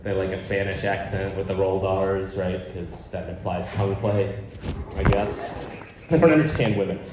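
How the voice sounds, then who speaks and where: A man's voice: deep voice, normal speed, very echoey, noisy background. A man doing a stand-up comedy set in a rambling, profane, conversational way in a live venue. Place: live comedy show, on stage.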